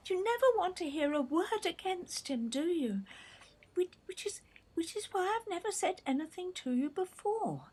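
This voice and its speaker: An actress playing a hurt, resentful grown daughter in an audio play, with an English accent and an emotional, pleading manner. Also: female voice, very high voice, sing-song, moderate pace, darkish tone. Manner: emotional, pleading, bitter emphasis. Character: hurt, resentful grown daughter, long overlooked little sister.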